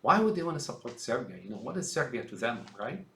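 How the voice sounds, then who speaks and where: A man's voice: medium pitch, sing-song, quick pace, sharp tone, reverberant. Rambling man from Syria, emphatic. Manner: rambling, emphatic, addresses listeners as folks. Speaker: commentator. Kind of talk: ranting political monologue.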